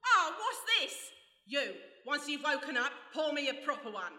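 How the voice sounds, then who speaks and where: A woman's voice: sits high, sing-song, moderate pace, pushed and bright, exaggerated voice. A woman playing a brash, commanding gang leader with theatrical delivery in a radio play. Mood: imperious. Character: brash, feared female London gang leader. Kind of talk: radio play comedy flashback acting. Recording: echoey hall, live stage recording.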